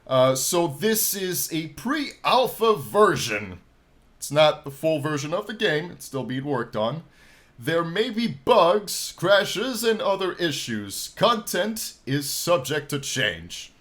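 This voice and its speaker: A man in his twenties hosting a game playthrough, reading story text aloud with theatrical, drawn-out delivery and casual asides. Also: a little high, very animated, a bit bright. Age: 20s. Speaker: gaming channel host.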